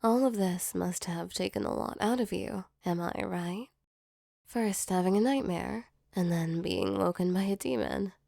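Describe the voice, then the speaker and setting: Casting: woman as affectionate sleep demon, creaky soft voice, close and dry, reassuring ASMR roleplay delivery. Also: mid-range voice, measured pace, no echo. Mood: soothing, protective. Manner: soothing, tender, reassuring. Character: gentle female sleep paralysis demon, affectionate and protective. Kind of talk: ASMR comfort roleplay, soft intimate acting.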